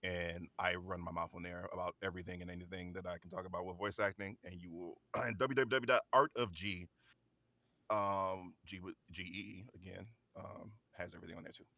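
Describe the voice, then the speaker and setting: A man who works as a voice actor, chatting casually and jokingly in a halting, rambling way. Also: low-pitched, steady tone, bright, forceful tone, no room sound. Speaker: video game voice actor.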